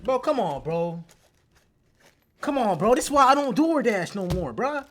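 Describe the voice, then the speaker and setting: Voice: mid-range voice, sing-song, moderate pace. A person chatting casually and off the cuff, complaining in slangy, exasperated talk full of swearing. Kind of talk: casual off-the-cuff reaction chat. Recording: faint background noise.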